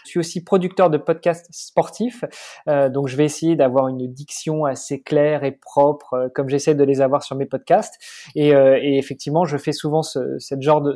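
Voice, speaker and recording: A man in his forties, a guest on an interview podcast, talking in a measured way with many hesitations. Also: on the high side, dark tone. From France. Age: 40s. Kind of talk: casual interview chat.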